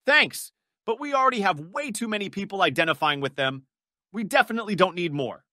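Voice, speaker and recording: A man delivers scripted commentary in a high, sharp voice. His tone is scornful and sarcastic. The voice-over is close and very dry. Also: lively intonation, fairly quick, no echo. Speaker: video commentator.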